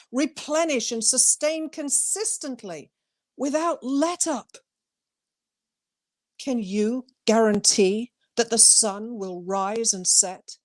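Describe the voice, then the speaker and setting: A woman with a fairly high voice speaks as a guest poet. Her pace is very unhurried, and she phrases things deliberately, as at a poetry reading. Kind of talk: poetry reading with brief informal introduction.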